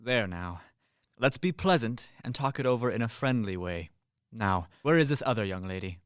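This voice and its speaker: A man's voice: on the low side, animated, moderate pace, clear, resonant tone, no room sound, studio quality, close to an everyday voice. Man as a practical, unflappable professional soldier. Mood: reasonable. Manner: matter-of-fact, placating. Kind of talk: stage-style radio play acting.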